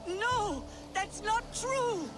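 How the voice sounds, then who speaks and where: An accused shield-maiden in a game cutscene. She pleads desperately in a very high, wildly swinging voice, slowly drawn out, with noise behind her. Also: animated, exaggerated voice. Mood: desperate pleading. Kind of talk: video game cutscene acting.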